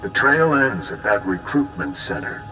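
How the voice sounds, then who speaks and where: Weary game spy chief, lowish voice that moves around a lot, wry, decisive, faint music behind. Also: male voice, animated, normal speed, pushed and bright, low-quality recording. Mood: grave briefing. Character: level-headed, weary intelligence bureau chief. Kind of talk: video game voice acting.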